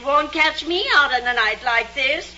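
A woman's voice: high-pitched, a little slow, sharp tone, full character voice. An actress playing a nosy, blunt, fearful old woman of a small town in an old radio drama. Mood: fearful, warning. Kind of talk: 1950s radio drama acting. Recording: dull old radio recording.

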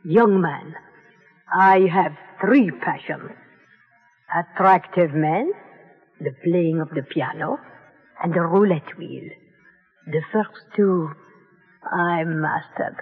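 Through a muffled, phone-like old radio with long reverb comes an elderly lady. She is husky and high-voiced, theatrical and coquettish, with a proud, defiant streak. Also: takes it slowly, pauses often, faint music behind, heavily acted. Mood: confiding, dramatic. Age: older. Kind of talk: 1940s-50s radio drama acting.

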